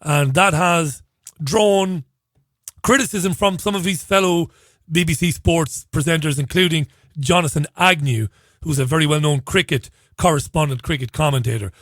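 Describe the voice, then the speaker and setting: A hoarse man hosting a talk radio show, chatting through the day's stories with wry asides. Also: on the high side, normal speed, a bit bright, no echo, clean studio sound. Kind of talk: casual talk radio monologue.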